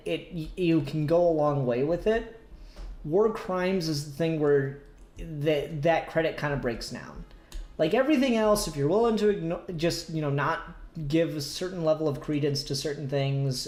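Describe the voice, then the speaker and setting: Man, slightly high voice, casual podcast host, halting delivery, searching for words, echoey room. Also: darkish tone. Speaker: podcast host discussing comics. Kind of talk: casual discussion and review. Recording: roomy echo, faint music behind.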